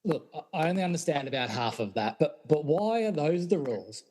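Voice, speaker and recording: A man's voice: on the high side, lively intonation, brisk, warm tone. A man playing a bewildered, sceptical teacher in an amateur comedy radio play, questioning everything with incredulity. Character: bewildered, sceptical science teacher pulled out of trance.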